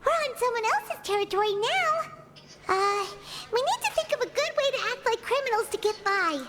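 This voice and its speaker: A woman voicing a small, childlike fairy companion in an anime game, squeaky, excitable and easily flustered, exclaiming as she goes. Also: normal speed. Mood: worried. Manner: exclamatory, talks of self in third person. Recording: game music behind.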